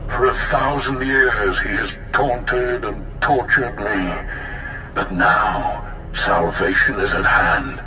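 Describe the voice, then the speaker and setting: A very deep, slow-spoken ancient Sith spirit, grave and commanding, his voice ringing as if through a hollow chamber. He drags out each word over a swelling game score. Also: echoey room, background noise. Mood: ominous. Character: ancient male Sith Lord spirit, proud and weary. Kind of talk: video game cutscene acting.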